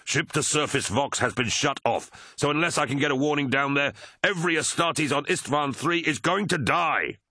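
A man voicing a desperate warrior pilot in an audiobook, shouting urgently as he pleads under fire. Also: low voice, fairly even intonation, fast talker, hardly any pauses, husky, no echo, clean studio sound, heavily acted. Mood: frustrated, pleading. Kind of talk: dramatic audiobook character reading.

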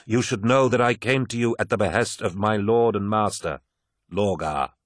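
A man voicing a sly, sneering warrior priest of dark sorcery in an audiobook, a persuasive tempter with a deliberate, insinuating manner. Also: lowish voice, no room sound, clean studio sound, lightly acted. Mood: zealous, tempting.

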